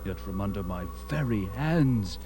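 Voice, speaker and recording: A man playing a battle-worn barbarian warrior in an audio drama, intense and bewildered, with halting, archaic speech. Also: on the low side, expressive, warm tone, faint music behind.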